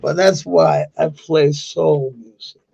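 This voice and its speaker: A man from Denmark with a Danish accent and a sore, gravelly voice, talking at length in a halting, rambling monologue. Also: a little high, steady tone, warm tone, dull audio. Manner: halting, with many restarts and fillers. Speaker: vlogger.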